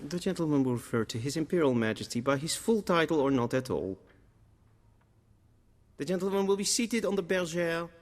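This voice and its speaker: A man acts out a haughty imperial chamberlain for a video game. His fairly high voice is pompous, fussy and exact, ringing in a long hall reverb. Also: lively intonation, a little fast, natural voice. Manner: formal, pompous, precise. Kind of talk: video game dialogue acting.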